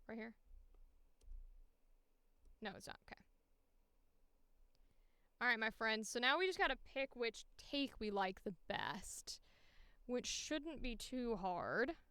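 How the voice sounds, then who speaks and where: Bright, high-voiced woman chatting casually. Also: animated, slow, long pauses, clean tone, no room sound. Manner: talks to self, swears freely, playful. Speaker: streamer and voice actor. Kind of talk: casual livestream chat while editing audition takes.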